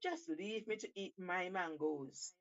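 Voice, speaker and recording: A woman's voice: lowish voice, slow pace, lo-fi sound. A woman playing a crafty, cheeky male monkey in a told story, with an emphatic, theatrical and taunting delivery. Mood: mocking, defiant.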